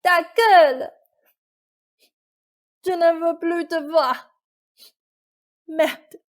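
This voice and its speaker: Woman as a slow, high-voiced grieving young Cinderella. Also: exaggerated voice. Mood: upset, angry. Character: grieving, stubborn young Cinderella clinging to mother's memory. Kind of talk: French radio play acting.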